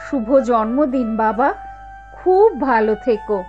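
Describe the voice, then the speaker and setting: An actress playing a kind but firm elderly mother in a cartoon, motherly and gently scolding. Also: on the high side, animated, measured pace, highs cut off, over music. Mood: kindly. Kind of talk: animated cartoon dialogue.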